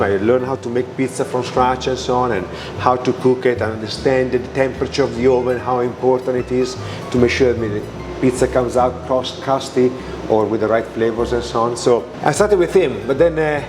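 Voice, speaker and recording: Halting Italian-accented restaurant owner. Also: male voice, mid-range voice, steady tone, over music. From Italy. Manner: halting, many fillers and restarts. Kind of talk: interview answers.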